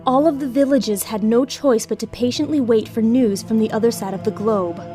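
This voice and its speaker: Female narrator, scripted storytelling, fairly high pitch, clear background music. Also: normal speed, mellow. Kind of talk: scripted audio drama narration.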